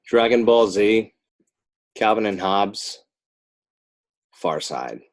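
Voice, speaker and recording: A man's voice: deep voice, darkish tone. A middle-aged American man chatting casually as an interview guest, with a rambling, self-deprecating way of talking. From northern New Jersey, United States. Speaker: podcast guest, children's book author and illustrator.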